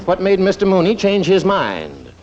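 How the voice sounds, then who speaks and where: A man's voice: high voice, wildly swooping pitch, moderate pace, a little mellow. An actor playing a dry, sarcastic, put-upon American father in an old-time radio comedy, speaking articulately. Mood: indignant, sarcastic. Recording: dull, noisy vintage radio recording.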